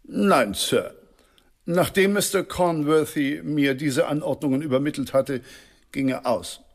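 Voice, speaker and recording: A man's voice: on the high side, measured pace. An actor playing a formal, deferential butler in a German radio drama, stiff and precise in his answers. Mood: formal, dutiful.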